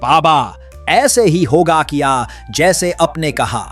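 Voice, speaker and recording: A man's voice: fairly high pitch, expressive, slow, fairly bright tone, soft background music, heavily acted. A man playing a humble, pious, poor villager, earnest and reverent, in a children's cartoon. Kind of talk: Hindi cartoon moral-tale voice acting.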